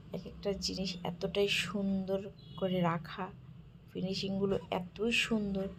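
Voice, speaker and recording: Lady vlogging a walkthrough, low even voice, soft and admiring, quiet hum behind. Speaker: vlogger touring a village-life exhibit. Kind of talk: casual narrated walkthrough.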